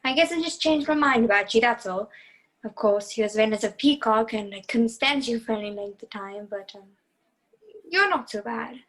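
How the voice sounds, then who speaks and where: A woman acting a feisty, sharp-tongued young woman who reluctantly helps a fugitive, in a radio play over a video call. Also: fairly high pitch, normal speed, dry recording. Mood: flustered. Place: video call, dry room. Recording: muffled video-call audio.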